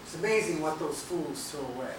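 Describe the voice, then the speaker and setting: An actor playing a warm but gruff elderly mentor and bar keeper in a stage play. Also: male voice, on the high side, expressive, a little fast, close to an everyday voice. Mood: fond, earnest. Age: older. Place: theatre stage, videotaped live from a distance. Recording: echoey noisy theatre hall, distant camera mic.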